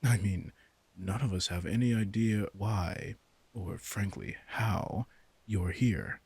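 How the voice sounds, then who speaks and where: A man's voice: on the low side, slow, gravelly, a little mellow, husky, no room sound, full character voice. A man playing a commanding, teasing vampire king in a roleplay audio drama, smug and casually menacing as he speaks straight to the listener. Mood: amused, explaining.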